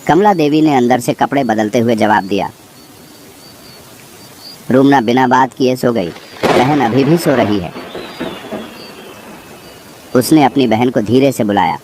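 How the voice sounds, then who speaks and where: A man's voice: medium pitch, animated, a little slow, pauses often, a little mellow. A man reading a scripted horror story as its narrator, with insect and bird ambience behind him. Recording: clear background noise, nature ambience.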